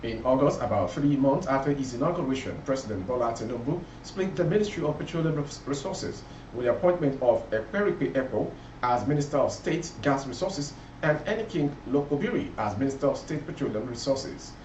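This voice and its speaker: A man's voice: medium pitch, animated, fairly quick, darkish tone. A Nigerian man reads the news from a script in a formal, declarative way. His voice reaches us through a dull recording, with plenty of room echo and a faint layer of noise behind him. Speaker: news reader. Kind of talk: scripted news bulletin read aloud. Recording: roomy, muffled, distant, faint background noise.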